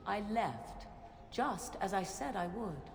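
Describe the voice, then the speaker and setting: Casting: guarded, imperious witch for fantasy game. Slow, dramatically varied delivery, archaic diction, cutting edge, roomy echo. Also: mid-range voice, sing-song. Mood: indignant. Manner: formal archaic phrasing, theatrical and cutting. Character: proud, guarded witch, sharp-tongued and ominous.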